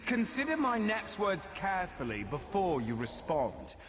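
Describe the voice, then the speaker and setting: A scheming demon prince from a fantasy game, with a high male voice that swoops theatrically. He is silky, menacing and vengeful, speaking deliberately in a huge reverberant hall. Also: huge pitch swings, exaggerated voice. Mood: bitter, vengeful. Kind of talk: scripted fantasy video game acting. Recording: long cavernous reverb, game ambience behind.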